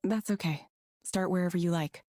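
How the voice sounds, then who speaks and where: Game role: woman playing a composed female counselor, mellow low-set voice, measured and reserved, very dry sound. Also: no echo, faint music behind, close to an everyday voice. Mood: calm, professional. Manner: measured, even-tempered, reserved. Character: reserved, calm female counselor in a visual novel. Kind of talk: visual novel game dialogue.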